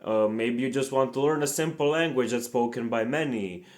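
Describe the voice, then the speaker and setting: A man's voice: mid-range voice, normal speed. A young man from Montenegro, in English, hosting a scripted, earnest explainer on learning languages. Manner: earnest, plain, slightly formal non-native phrasing. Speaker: language-learning channel host.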